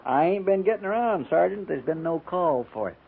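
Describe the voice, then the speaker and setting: An actor playing a hapless, bemused army recruit who is no youngster, rustic and hesitant, in a 1950s radio western. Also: male voice, on the high side, lively intonation, a little fast, gravelly, breathy edge, exaggerated voice. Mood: dry, hapless. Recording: tinny, noisy 1950s radio recording.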